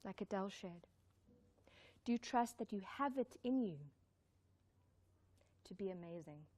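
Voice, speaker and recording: A woman's voice: medium pitch, expressive, a little slow, a little mellow. A woman giving a live motivational talk, speaking emphatically, repeating key lines and putting questions to her listeners. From born in Zimbabwe, raised in South Africa. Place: live talk before an audience.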